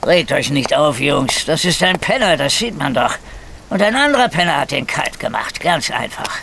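An actor playing a grumpy, cynical middle-aged police detective with flu in a radio crime drama, blunt and colloquial. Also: male voice, on the high side, very animated, moderate pace, creaky, pushed and bright, a little hiss or hum, exaggerated voice. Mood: dismissive. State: coughing with a cold.

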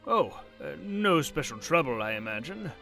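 An actor playing a kindly, whimsical old professor with a theatrical, musing manner in period drama style. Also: male voice, a little high, big pitch swings, moderate pace, creaky, pushed and bright, soft background music, heavily acted. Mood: puzzled. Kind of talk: period radio-drama acting.

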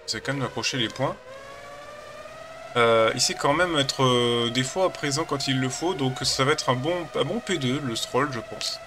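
A man's voice: medium pitch, moderate pace. Guy with a cold rambling on while racing, lots of ums, noisy background. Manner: chatty, rambling, hesitant with many fillers. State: has a cold. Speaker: gaming channel host. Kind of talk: casual commentary while playing a racing game.

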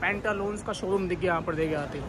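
A man's voice: high-pitched, fairly even intonation, fast talker. A man giving a casual walking tour of a shopping mall, narrating into a phone camera and pointing things out as he walks. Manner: repeats "dekhiye" constantly, pointing things out. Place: outdoor mall plaza, then inside a large shopping mall. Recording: loud music and crowd noise behind.

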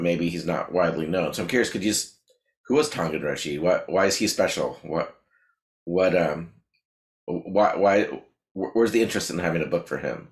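A man's voice: deep voice, roomy sound. A middle-aged man hosting a podcast interview over a video call, asking curious, stumbling questions. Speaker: podcast host. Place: small room indoors. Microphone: video call webcam.

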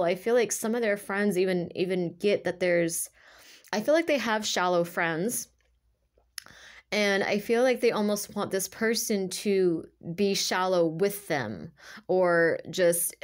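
A woman giving a casual tarot reading, with a stuffy, nasal voice from a sinus infection. She talks earnestly and rambles, full of "like" and restarts. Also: fairly low pitch. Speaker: tarot reader. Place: indoors at a table with cards on purple cloth.

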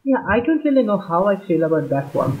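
A man's voice: high-pitched, big pitch swings, racing, narrow, tinny audio, slight background noise. A man chatting casually on a podcast panel, mostly in English with some Bengali. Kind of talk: casual chat.

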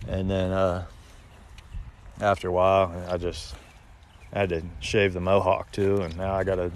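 A man's voice: sits low, flat delivery, moderate pace. An American man in his thirties chatting casually on a livestream, laid-back and rambling, talking into a phone held at arm's length outdoors. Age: 30s. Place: outdoors in open rural countryside. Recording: outdoor phone recording with ambient noise.

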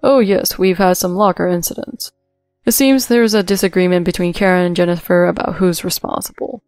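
Woman playing blustering, creaky store manager man. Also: lowish voice, steady tone, a little fast, a little mellow, no echo, studio quality, soft background music, close to an everyday voice. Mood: nervous. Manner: blustering, evasive. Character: weaselly, defensive male store manager, eager to please.

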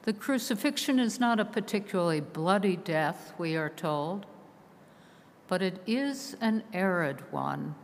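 A woman preaching a solemn sermon from a pulpit, measured and slow, her phrases sinking at the ends. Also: fairly low pitch, lively intonation, slight background noise. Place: large stone church, from a pulpit. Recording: long church reverb heard from afar.

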